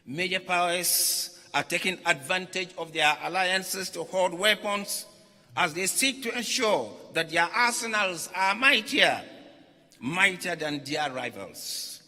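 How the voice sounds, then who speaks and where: A religious leader, a man, delivers a formal written address. His voice sits a little high and is strikingly bright and forceful. He phrases deliberately, pausing between short groups of words, and the sound rings through a reverberant hall. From Ghana. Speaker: religious leader reading a message at a conference. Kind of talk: formal speech read aloud. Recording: echoey hall with long reverb.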